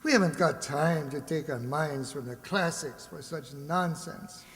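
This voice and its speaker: A man's voice: a little high, a little slow, fairly bright tone, big-room sound. A man playing a wry, mock-dignified musician with a weakness for gambling in an old-time radio comedy. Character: wry, broke musician maestro, wisecracking gambler.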